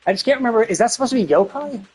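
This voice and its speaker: A man's voice: very high voice, expressive, rapid-fire, a little mellow, husky, faint music behind. A man streaming video games and chatting casually, thinking aloud in a rambling way. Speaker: video game livestreamer.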